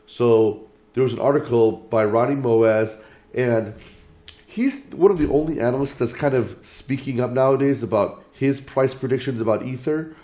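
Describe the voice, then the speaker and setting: A man's voice: medium pitch, mellow. A man explaining his market outlook as a channel host, talking through points in order while losing his voice from illness. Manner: measured, lays out points in order.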